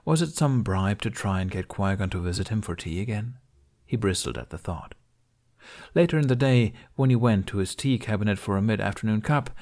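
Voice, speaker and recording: A man's voice: fairly low pitch, a little mellow. A man reading fiction aloud as a scripted story narration. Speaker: audiobook-style reader of fan fiction.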